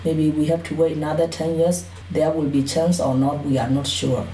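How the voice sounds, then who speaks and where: A woman's voice: deep voice, level tone, moderate pace, mellow. A woman from Burma with a Burmese accent and Karen as her first language, speaking hesitantly and plainly as an interview guest telling her life story. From Burma, Karen community. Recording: roomy echo, distant mic, faint noise.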